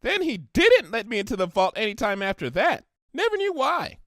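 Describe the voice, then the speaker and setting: A man voicing a bouncy, excitable, scatterbrained young female pony who rambles and exclaims naively in a cartoon fan fiction reading. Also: extremely high pitch, normal speed, no echo, studio quality, pitched above the performer's own voice, full character voice. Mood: laid-back, chatty.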